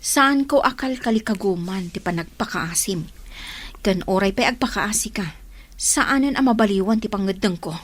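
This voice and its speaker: A woman's voice: mid-range voice, lively intonation, normal speed, lightly acted. A woman with an Ilocano accent playing a frank, lovelorn single woman in her thirties in a radio drama. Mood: confrontational. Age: 30s.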